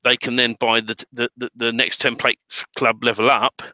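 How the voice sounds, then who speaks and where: A man's voice: mid-range voice, level tone, moderate pace, pushed and bright, no echo. A middle-aged British man chatting casually as a webinar guest, often repeating words as he starts a thought. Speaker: webinar guest, template trainer. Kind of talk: casual chat. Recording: slightly dull, lo-fi audio.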